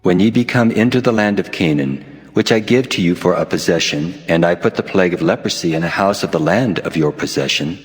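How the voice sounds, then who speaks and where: An actor playing a solemn, commanding God who gives law in a dramatized scripture reading. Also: male voice, low-pitched, a little fast, lightly acted. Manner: solemn, authoritative. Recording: long cavernous reverb over music.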